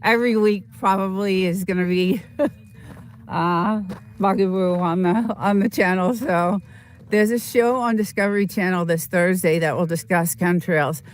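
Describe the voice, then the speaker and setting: A woman hosting a casual live stream outdoors in the desert, sniffly with a slight cold, talking in a halting, rambling way full of fillers as she greets viewers. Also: medium pitch. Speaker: livestream host.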